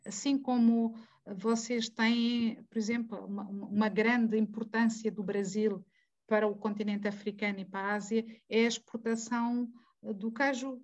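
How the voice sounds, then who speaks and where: A woman's voice: on the high side, fairly even intonation, normal speed, dull audio. Mozambican woman musing on a video call. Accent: Mozambican Portuguese. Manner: digressive, self-correcting, asks rhetorical questions. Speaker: guest speaker in an academic debate. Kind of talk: conversational academic talk.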